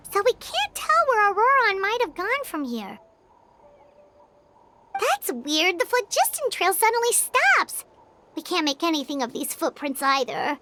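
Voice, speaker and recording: A woman's voice: very high voice, very noisy, heavily acted. A woman voicing a tiny, chatty, childlike fairy companion in a video game, with a chirpy, exclamatory delivery. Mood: puzzled. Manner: speaks of herself in third person, exclamatory, chirpy. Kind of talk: animated game voice acting. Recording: game music behind the voice.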